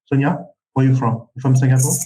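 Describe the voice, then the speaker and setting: A man with a French accent hosting a webinar over a video call, talking in a halting way with many restarts. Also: medium pitch, fairly even intonation, background noise. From France. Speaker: webinar host. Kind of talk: casual webinar chat.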